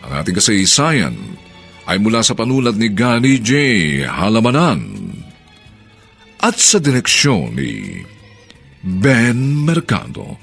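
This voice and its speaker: A Filipino man announcing for a radio drama in a formal, ceremonious, drawn-out scripted style. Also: fairly low pitch, huge pitch swings, a bit bright, slightly rough, soft background music. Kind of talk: formal scripted closing narration.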